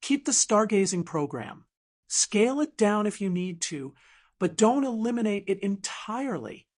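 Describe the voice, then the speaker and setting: A woman's voice: mid-range voice, big pitch swings, normal speed. A woman voicing a principled, diplomatic event planner in her thirties, earnest and persuasive, in an audiobook drama.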